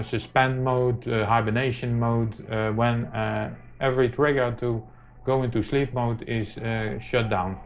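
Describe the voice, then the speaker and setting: Slow, halting man giving a tech tutorial. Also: medium pitch, a little hiss or hum. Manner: halting, very frequent hesitations. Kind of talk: informal hands-on tutorial narration. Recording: muffled lo-fi mic, music behind.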